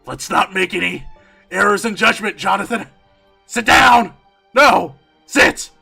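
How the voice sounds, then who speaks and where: A man acts out a frantic, domineering TV production boss from a horror tale. The voice is raised high, sharp and forceful, barking commands with music underneath. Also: measured pace, gravelly, breathy edge, full character voice. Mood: menacing command.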